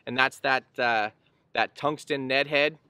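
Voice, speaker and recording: Bright, clear-voiced man teaching outdoors. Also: medium pitch, a little slow, no room sound. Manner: easygoing, explanatory, frequent fillers and restarts. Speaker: fishing channel host. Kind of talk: casual instructional talk to camera. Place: outdoors on a boat on a lake.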